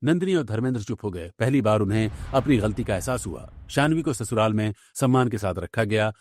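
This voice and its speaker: A man's voice: fairly low pitch, animated, a little fast, a little mellow. A man reading scripted story narration for a cartoon tale. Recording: background music under the voice.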